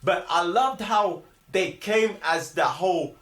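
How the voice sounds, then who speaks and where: High-voiced London man in an echoey room. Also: slow pace, a bit bright. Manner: emphatic, repetitive, argumentative. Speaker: film review host. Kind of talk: animated casual film discussion, heavy slang.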